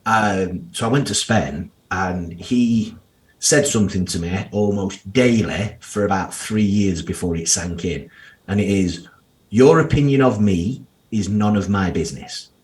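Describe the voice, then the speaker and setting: A man in his thirties with a broad Yorkshire accent and a fairly low voice. He talks candidly and bluntly, dropping slang and the occasional swear word. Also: a little slow, a little mellow, rough recording. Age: 30s. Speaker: guest financial planner. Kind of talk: casual podcast interview.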